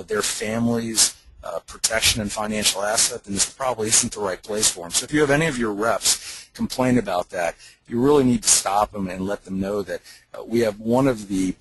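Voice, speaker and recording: A man's voice: on the low side, a bit bright, slight background noise, rough recording. A man speaking as a company executive, giving an emphatic, insistent promotional presentation on a conference call. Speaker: company executive addressing sales leaders. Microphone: telephone conference call.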